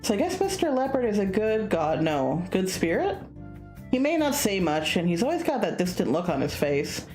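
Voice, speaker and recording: A woman's voice: medium pitch, big pitch swings, normal speed, darkish tone, soft background music, natural voice. A woman playing a curious, polite young male student in a visual novel, speaking in a hesitant, conversational way. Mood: respectful, sincere.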